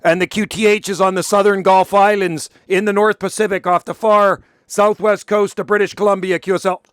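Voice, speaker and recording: A man's voice: high-pitched, little pitch movement, a little fast, fairly bright tone, smooth, no echo, clean studio sound. A man making casual radio contact as an operator, chatty and full of radio jargon.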